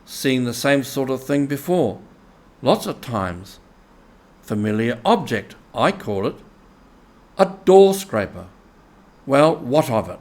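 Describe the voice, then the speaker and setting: A man voicing a grumbling, stubborn mole in a storybook reading, pettish, deliberate and sarcastic. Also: mid-range voice, sing-song, a little mellow. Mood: unimpressed, huffy. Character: grumbling, stubborn, literal-minded male mole. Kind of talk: animal character in storybook reading.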